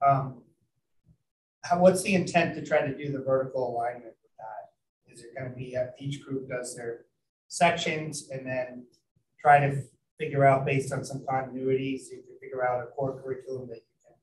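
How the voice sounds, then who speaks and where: A man on a school board asking halting, deliberate questions in a meeting. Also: medium pitch. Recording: roomy, distant mic with faint noise.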